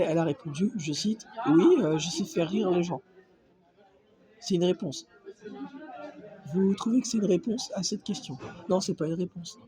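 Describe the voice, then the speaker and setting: A man's voice: sits high, rough recording. Hesitant man with a cold, crowd behind. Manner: hesitant, many fillers and restarts. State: has a cold. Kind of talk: casual reaction vlog monologue. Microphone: phone not held, off-camera.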